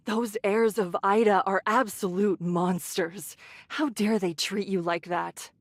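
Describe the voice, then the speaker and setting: A woman voicing a caring, brisk shelter leader who scolds in a motherly, teasing way, in an English anime game. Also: medium pitch, steady tone, dry recording. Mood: distressed.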